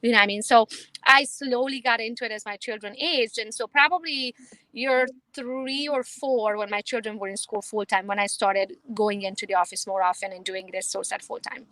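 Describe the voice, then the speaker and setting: A woman from Romania speaks with a Romanian accent, in a quick, emphatic, confident way. Her voice sits a little high and sounds bright and forceful. The recording is dry, with no room sound. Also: steady tone, dry recording, low-quality recording. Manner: confident, fast, emphatic, laughs easily. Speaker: realtor guest. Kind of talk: casual interview chat.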